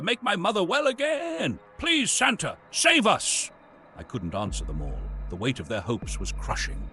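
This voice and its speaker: A man playing a weary, tormented, sinister old Santa, narrating a horror confession in a brooding, deliberate, theatrical way. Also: high-pitched, sharp tone, full character voice. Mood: pleading, then brooding regret. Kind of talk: dramatic horror monologue narration. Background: music.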